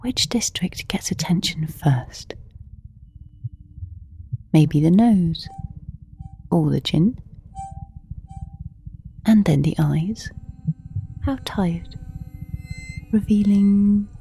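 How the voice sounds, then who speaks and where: A woman narrating a scripted story in an intimate, hushed and measured manner, pausing between short phrases. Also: lowish voice, expressive, soft background music. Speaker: narrator of an audio drama.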